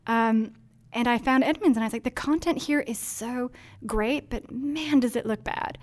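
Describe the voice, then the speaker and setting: A woman's voice: on the high side, normal speed. A woman from Denmark answering interview questions about marketing in a chatty, earnest way, with many ums and likes. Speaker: marketing executive.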